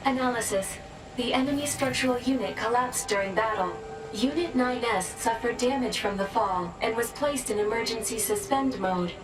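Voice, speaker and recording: A woman voicing a formal, dutiful robotic support unit in a video game, speaking in measured, emotionless phrases. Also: on the high side, lightly acted. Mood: clinical, flat. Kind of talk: scripted game status reporting. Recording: echoey, with music behind.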